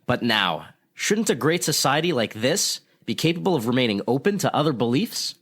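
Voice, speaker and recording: A man's voice: medium pitch, big pitch swings, normal speed, a bit bright. A man playing an earnest, probing news reporter, formal and pointed, in old-time radio style.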